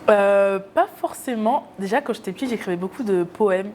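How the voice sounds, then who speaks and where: A woman's voice: medium pitch, expressive, normal speed, a little mellow, a little hiss or hum. A young woman from Cameroon, a YouTuber guest in a casual interview, talking with many hesitations.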